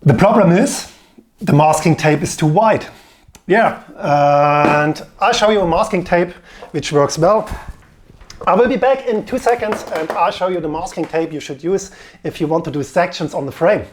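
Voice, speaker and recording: A man commenting on a bike painting video, explaining tape and materials in an instructive, slightly halting way. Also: a little high, slight background noise.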